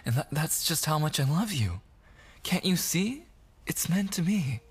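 A man playing a possessive, obsessively jealous boyfriend in an intimate ASMR audio roleplay, pleading and menacing in halting, soft speech that slips into whispers. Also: fairly high pitch, very animated, full character voice. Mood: desperate, menacing. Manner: pleading, halting and repetitive, slipping into breathy whispers.

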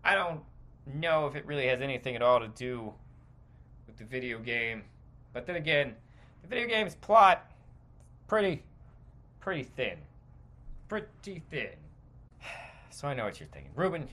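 A man hosting a podcast, delivering a casual, sweary, opinionated rant in a halting stream of consciousness, often repeating words for emphasis. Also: mid-range voice, lively intonation, fairly bright tone. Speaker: podcast host.

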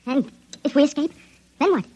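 An actor in an old radio drama playing a frightened, excitable shrunken man, his voice sped up to sound tiny. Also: normal speed. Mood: anxious, bleak. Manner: urgent, anxious. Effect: sped-up, pitched-up tiny voice. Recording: noisy, dull vintage radio recording.

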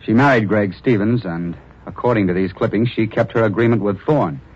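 A man's voice: lowish voice, a little fast, a little mellow, slightly rough. A man acting a young, wry police reporter who narrates a 1940s radio drama in a clipped, dramatic way. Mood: wry, factual. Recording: muffled, noisy old radio recording.

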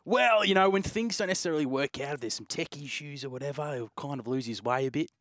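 An Australian man, a radio producer, chatting casually with crisp pronunciation. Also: a little high, quick pace, fairly bright tone, no room sound. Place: radio studio.

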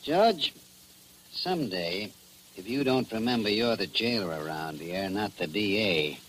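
A man's voice: on the low side, expressive, a little slow, fairly bright tone. A man with an American accent playing a tough, curt police chief who gives clipped orders in 1940s radio drama style. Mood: menacing. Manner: gruff, commanding, clipped. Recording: noisy old 1940s radio recording.

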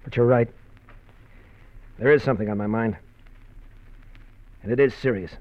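Actor as troubled husband in muffled radio drama. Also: male voice, mid-range voice, moderate pace, natural voice. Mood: desperate, weary. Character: troubled husband fleeing his criminal past, guarded and desperate. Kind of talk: old-time radio drama acting. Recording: muffled old radio show recording.

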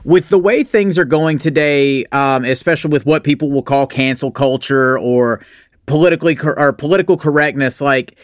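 A man's voice: fairly high pitch, moderate pace, no room sound, studio quality. A man hosting a casual podcast interview, agreeable and often affirming his guest.

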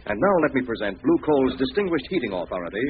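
Casting: male vintage radio announcer, mid-pitched, emphatic and lively, thin band-limited sound. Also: quick pace. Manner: formal, polished, emphatic. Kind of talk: scripted 1940s radio announcing. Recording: tinny old radio recording. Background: music.

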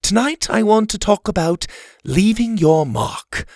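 A man voicing an older woman who runs a camp, addressing the children in a slow, formal way. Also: high-pitched, lively intonation, no room sound, clean studio sound, voice pushed up. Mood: formal, welcoming.

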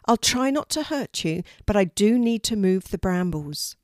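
A gentle, helpful young girl from a children's story, voiced by a woman narrator. The delivery is practical and reassuring, with animated intonation and a close, dry sound. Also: medium pitch, moderate pace, no room sound, lightly acted.

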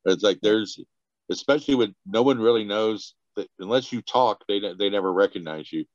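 Deep-voiced rambling man. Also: fairly quick, dry recording, muffled recording. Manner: rambling, restarts sentences, laughs often. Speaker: guest, party organizer. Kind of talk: casual podcast conversation.

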